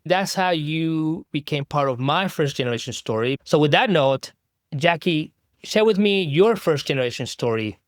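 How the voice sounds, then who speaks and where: A man hosting a podcast, asking his guest measured, earnest questions. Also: on the high side, expressive, dry recording, studio quality. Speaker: podcast host. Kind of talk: conversational interview.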